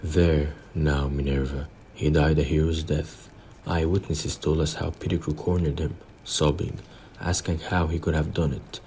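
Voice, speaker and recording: A man plays a grave older government minister in an audio play. The voice is extremely low and level, measured and formal with a pompous weight. There is a faint noise behind it. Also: level tone, dark tone. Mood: solemn.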